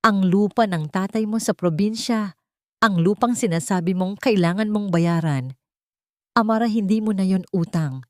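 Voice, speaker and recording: A woman's voice: medium pitch, moderate pace, darkish tone, dry recording, clean studio sound, lightly acted. A woman voicing an earnest young male lawyer in an audio story, urgent and resolute as he protects a childhood friend. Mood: urgent, serious.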